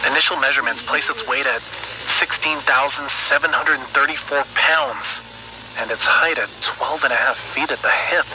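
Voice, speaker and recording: Guy acting as an earnest scientist doing audio logs, mid pitch, thoughtful n halting, lots of noise. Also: lightly acted. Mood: fascinated. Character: earnest, awed male research scientist recording secret log entries. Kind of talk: dramatic audio log narration.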